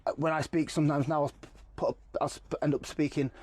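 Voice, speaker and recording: A man talks in a relaxed podcast chat. His voice sits slightly high for a man. He is animated and keeps slipping into quick impressions of the people he describes. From Cumbria, England. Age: adult. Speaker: guest.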